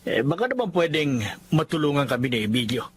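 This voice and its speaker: A man's voice: a little high, big pitch swings, slight background noise. A man with a Filipino accent playing a hard-up older brother in an audio drama, hesitant, sheepish and pleading. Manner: halting, sheepish.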